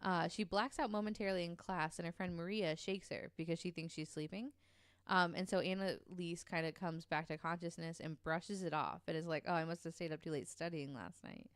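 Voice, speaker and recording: A woman's voice: lowish voice, steady tone, brisk, clean tone, dry recording, studio quality. A woman co-hosting a podcast, casually telling a true story with lots of ums and likes. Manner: chatty, many ums and likes, laughs easily.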